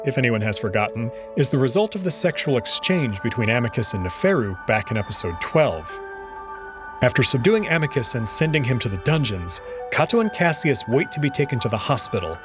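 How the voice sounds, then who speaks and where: A man hosting a visual novel playthrough, reading scripted narration in a dramatic storytelling style. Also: medium pitch. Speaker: host and reader of a game playthrough. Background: background music.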